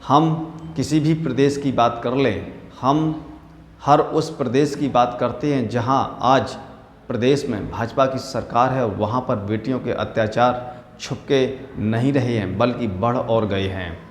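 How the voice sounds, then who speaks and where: A man presenting political news commentary in a deliberate, halting manner. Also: medium pitch. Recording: echoey room with long reverb.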